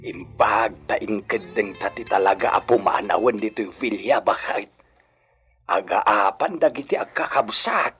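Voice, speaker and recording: An actor playing an earnest, conciliatory brother in a melodramatic Philippine radio drama, speaking in a measured, pleading way. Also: male voice, lowish voice, a bit bright, faint music behind. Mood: sorrowful.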